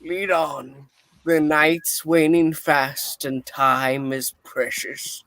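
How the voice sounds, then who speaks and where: A man's voice: fairly high pitch, fairly even intonation, extremely slow, a bit bright, dry recording, heavily acted. A man voicing an anxious old miser who speaks in a dramatic, beseeching way in a radio play reading. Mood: fearful, resolved. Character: Ebenezer Scrooge, anxious pleading old miser.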